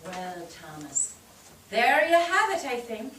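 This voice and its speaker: A woman acting a knowing, unhurried otherworldly guide of the dead in a theatrical staged reading. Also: on the high side, sing-song, background noise. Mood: measured, wry. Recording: echoey stage, distant mic.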